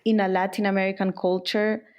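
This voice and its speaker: A woman's voice: mid-range voice, level tone, brisk. Candid middle-aged woman from El Salvador. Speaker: guest, furniture and interior designer. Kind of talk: conversational interview answers.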